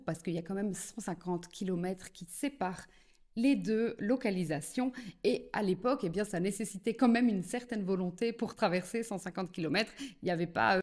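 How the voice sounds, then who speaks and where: A woman's voice: mid-range voice, darkish tone. Chatty Belgian woman explaining to camera. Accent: Belgian French. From French-speaking southern Belgium. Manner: chatty, addresses the viewer directly, many "eh bien" and "alors". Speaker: psychogenealogy video creator. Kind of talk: casual explanatory talk to camera.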